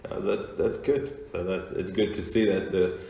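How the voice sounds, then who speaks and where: A man hosting a podcast interview, asking questions in a slow, halting way with small laughs. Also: sits low, fairly even intonation, vocal fry, warm tone. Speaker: podcast host. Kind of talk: casual interview. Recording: echoey room with faint noise.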